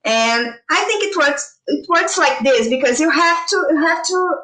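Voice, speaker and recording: High, bright Brazilian woman on a video call. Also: lively intonation, moderate pace, roomy sound, far from the mic, poor audio. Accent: Brazilian Portuguese. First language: Portuguese. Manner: hesitant, searching for words, restarts. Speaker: tarot teacher, panel guest. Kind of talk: casual online panel chat.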